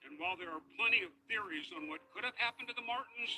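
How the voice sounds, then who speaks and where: A male TV news reporter with a very bright, forceful voice, pitched a little high. His field report comes in a measured, steady broadcast cadence, over a faint hiss. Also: rough recording.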